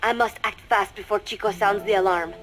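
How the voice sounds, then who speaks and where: An actress playing a young female spy in a video game monologue, coldly resolved yet fragile, speaking in measured, deliberate phrases. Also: mid-range voice, fairly even intonation, fairly bright tone, close to an everyday voice. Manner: measured, deliberate, introspective confession.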